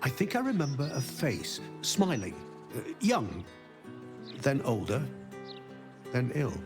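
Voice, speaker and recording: A man's voice: medium pitch, huge pitch swings, slow, exaggerated voice. An actor playing a confused, cheerful well-to-do man with lost memories in a video game, rambling absent-mindedly. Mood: confused, wistful. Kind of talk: video game character dialogue. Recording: music behind the voice.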